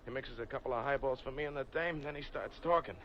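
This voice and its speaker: A man's voice: mid-range voice, fast talker, over a noisy crowd, natural voice. A man playing a panicky small-time crook. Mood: scared. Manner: nervous, rambling tough-guy slang. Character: frightened small-time male crook, babbling. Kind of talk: 1940s radio drama acting. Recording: dull old radio recording with faint hiss.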